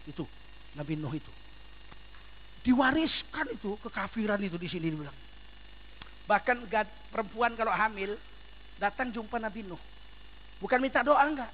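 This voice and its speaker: A man's voice: sits high, expressive, normal speed, long pauses, muffled recording, a little hiss or hum. A man preaching a sermon in a large mosque, emphatic and firing rhetorical questions at the congregation.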